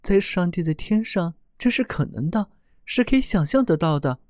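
A man's voice: high voice, expressive, normal speed, a little mellow, dry recording, clean studio sound, voice pushed up. A man doing a chiming chorus of tiny flowers. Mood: reverent. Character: chorus of small forest flowers and creatures.

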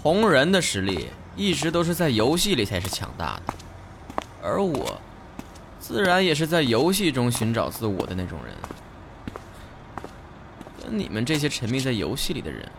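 A man's voice: mid-range voice, wildly swooping pitch, moderate pace, gravelly, pauses often, noisy background. A man playing a lazy, self-important teenage gamer in a Chinese radio drama. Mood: aloof, proud.

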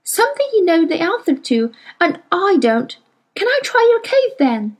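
A woman's voice: very high-pitched, very animated, normal speed, pitched above the performer's own voice. A woman reading aloud, voicing an eager, desperate young girl who pleads earnestly. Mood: pleading.

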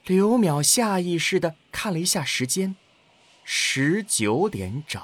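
A man with a husky voice narrating a scripted science-fiction audio drama. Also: high voice, big pitch swings, takes it slowly, no echo.

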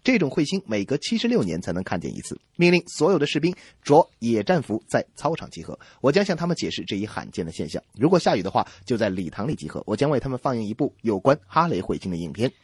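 A man's voice: medium pitch, big pitch swings, fast talker, few pauses, slightly rough, no room sound. A man voicing an earnest, self-important army officer declaiming orders in a radio comedy sketch. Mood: official.

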